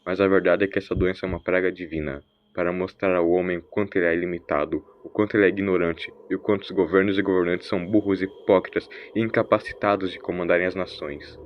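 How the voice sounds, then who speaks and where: A man's voice: sits low, fairly even intonation, fast, hardly any pauses, dull audio, close to an everyday voice. A man voicing a mysterious, eccentric vagabond in an audio story, drawling slangy words in a theatrical, teasing way. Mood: preachy. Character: mysterious eccentric wandering vagabond, teasing, preachy, ancient but young-sounding.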